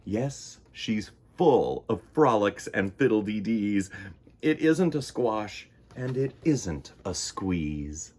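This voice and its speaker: A man hosting a children's storytime, reading and chatting in a theatrical, expressive way. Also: on the low side, slow pace, slight background noise. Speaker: children's storytime host and reader.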